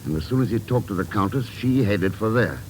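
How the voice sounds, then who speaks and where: Man playing a shrewd, measured radio investigator. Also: lowish voice, lively intonation, slight background noise, lightly acted, poor audio. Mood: pondering. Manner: measured, deliberate, wry. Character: cool, shrewd, dryly witty male investigator. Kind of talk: 1940s-50s American radio drama acting.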